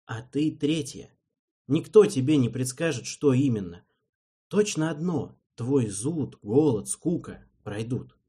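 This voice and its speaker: A man voicing a calm, patient young male king who speaks quietly and slowly. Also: mid-range voice, husky. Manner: quiet, soothing, measured.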